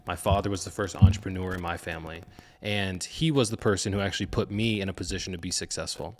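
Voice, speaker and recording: Male interviewer, deep register, earnest and reflective, motivational long-form podcast style. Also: steady tone, fast, a little hiss or hum. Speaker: podcast host.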